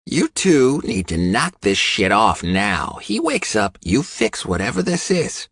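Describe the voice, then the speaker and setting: A man's voice: on the low side, wildly swooping pitch, measured pace, fairly bright tone, husky, no echo, pitched above the performer's own voice, heavily acted. A man voicing a stern, no-nonsense mother, curt and commanding, in an audiobook. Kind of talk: audiobook character acting.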